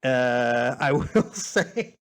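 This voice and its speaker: A man's voice: medium pitch, animated, extremely slow. A man hosting a podcast in rambling casual chat, self-deprecating and prone to swearing. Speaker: podcast host.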